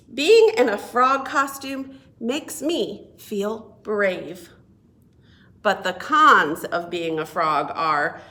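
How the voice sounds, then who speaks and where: A woman reading a picture book aloud to young children as a children's librarian, expressive and deliberate. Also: mid-range voice. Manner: expressive, deliberate, asks listeners questions. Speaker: children's librarian and storytime reader.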